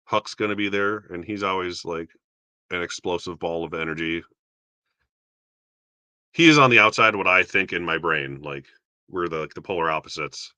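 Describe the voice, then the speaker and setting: A young man with a deep voice casually rambling about awards results, self-deprecating and chuckling now and then. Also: moderate pace, long pauses, bright, forceful tone, dry recording. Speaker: YouTube film awards commentator. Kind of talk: casual solo commentary.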